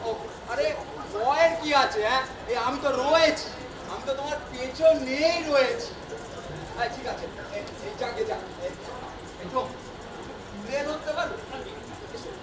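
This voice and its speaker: A man plays a comic old God on stage, very high in pitch and far from the mic. He blusters pompously, stammers and repeats himself like a flustered ruler. The noisy hall recording carries audience sound. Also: moderate pace. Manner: stammering, repetitive, pompous when addressing crowds. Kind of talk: comic Bengali stage play acting. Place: theatre stage at a drama festival.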